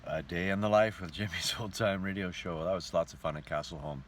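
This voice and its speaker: A man's voice: sits low, fairly even intonation, a little fast, slight background noise. A man who entertains as a touring singer and show host, chatting casually in a folksy way as in a travel vlog or between songs.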